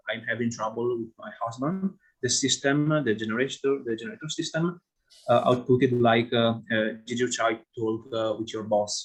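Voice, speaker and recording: A man on a video call puts a long question to the speaker and hesitates often, filling pauses as he goes. His voice sits at an ordinary middle pitch, and he keeps a polite tone. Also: rough recording. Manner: hesitant, many fillers, polite. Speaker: audience member asking questions. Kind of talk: academic Q&A.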